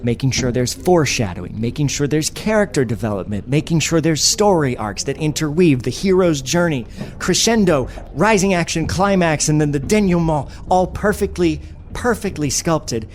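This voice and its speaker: A man's voice: on the high side, animated, normal speed, slight background noise, lightly acted. A man playing a self-important, exasperated screenwriter in a comedy sketch, wordy and emphatic. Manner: indignant, incredulous, emphatic, wordy. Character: exasperated, self-important male screenwriter defending his script. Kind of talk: comedy sketch dialogue, pitch meeting.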